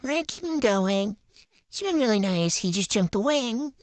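A young woman gives casual play-by-play commentary as a gaming vlogger. She talks in short, chatty phrases, narrating each move as she goes. Her voice sits at a medium pitch and is recorded close, with very little room sound. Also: expressive, moderate pace, no echo.

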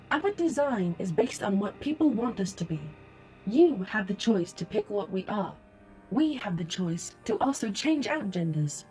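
A woman's voice: medium pitch, a little mellow, poor audio. A woman playing a polite, formal holographic ad-bot in an audio drama, speaking precisely and matter-of-factly as she lectures. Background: music.

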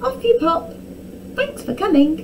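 A mischievous young storybook princess voiced by a woman, pitched high and swooping. She is bossy and brazenly sweet, with a lot of force behind her words. The recording is distant with some noise. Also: big pitch swings, unhurried, exaggerated voice. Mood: sweetly sly. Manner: shrieking false alarms, sweetly brazen.

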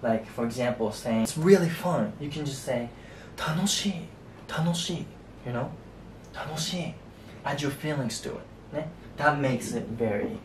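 A young man with a Japanese accent, teaching a relaxed whiteboard lesson and tagging his sentences with a soft "ne" and "you know". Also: normal speed. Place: small indoor room at a whiteboard. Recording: echoey room, distant mic, faint rumble.

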